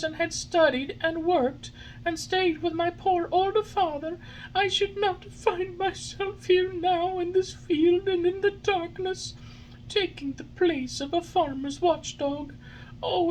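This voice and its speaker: A man voicing a wooden puppet boy who pleads and wails in a very high, sobbing voice. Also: a little hiss or hum, low-quality recording. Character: weeping wooden puppet boy, naughty, remorseful.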